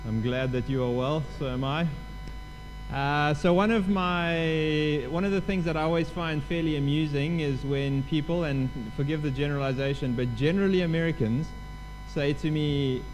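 A man's voice: on the high side, a little mellow, a little hiss or hum, poor audio. A man with a South African accent welcoming a congregation and introducing a guest preacher in a chatty, self-deprecating way. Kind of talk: casual church welcome.

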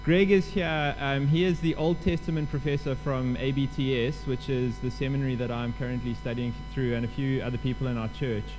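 A man's voice: mid-range voice, little pitch movement, brisk, hardly any pauses, darkish tone, faint music behind, poor audio. Chatty South African man at church. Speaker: church leader introducing the guest preacher. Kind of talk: casual church welcome.

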